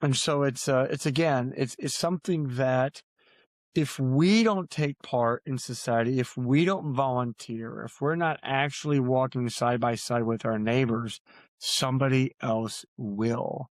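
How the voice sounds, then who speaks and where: A man's voice: medium pitch, a little slow, no room sound. A man speaking as a guest author in an interview, in a reflective, storytelling way with emphatic points. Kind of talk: earnest conversational interview answers.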